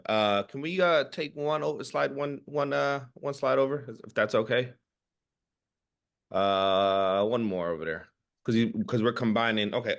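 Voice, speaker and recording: Low-voiced man giving informal personal testimony. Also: a bit bright. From Guatemala and Oakland, California. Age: 30s. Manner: informal, halting, repeats words. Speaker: educator and family organizer.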